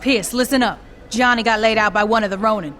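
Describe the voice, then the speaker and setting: A woman's voice: on the high side, brisk, fairly bright tone, slight background noise, lightly acted. An actress playing a tough, foul-mouthed female gang boss in a video game cutscene, blunt and threatening.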